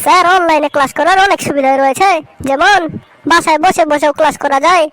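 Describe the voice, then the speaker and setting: A woman's voice: sits high, fairly bright tone, dry recording. A woman voicing a cheeky schoolboy who gives absurd answers in a comedy cartoon. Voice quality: fast, cheeky storytelling. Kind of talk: cartoon voice acting.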